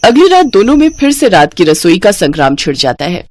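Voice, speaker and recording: A woman's voice: fairly low pitch, big pitch swings, brisk, a little mellow, slight background noise. A woman narrating a scripted family moral story as storyteller.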